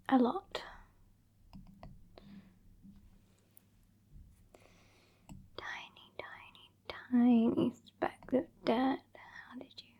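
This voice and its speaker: A woman acts out a haughty British vampire mistress for an ASMR roleplay. Her voice is high yet soft and breathy, mostly whispered. She draws out her words very slowly, with withering impatience and condescension. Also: exaggerated voice. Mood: bossy, withering. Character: sassy, haughty, impatient female vampire scolding her weakling fledgling.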